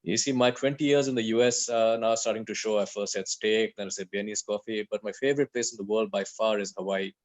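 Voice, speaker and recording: A man's voice: fairly low pitch, steady tone, quick pace, few pauses. A man who grew up in India, a guest on an aviation talk, telling easygoing self-deprecating stories over a video call. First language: English. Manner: chatty, self-deprecating storyteller. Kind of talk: casual conversation on a video call. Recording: dull lo-fi call audio.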